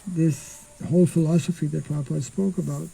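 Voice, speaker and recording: An older monk giving an informal scripture class outdoors into a stand mic, speaking slowly and haltingly and clearing his throat often. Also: on the high side, fairly even intonation, warm tone, low-quality recording. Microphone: stand mic in front.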